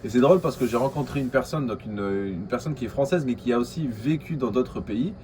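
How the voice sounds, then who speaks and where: A man with an Algerian accent talks straight to his camera in a casual vlog. He rushes through his thoughts, stumbling over fillers and restarts. His voice sits at a middle pitch, with a faint hum of room noise behind him. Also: warm tone. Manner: quick and hesitant, many fillers and restarts. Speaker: vlogger. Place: coworking space in a tower.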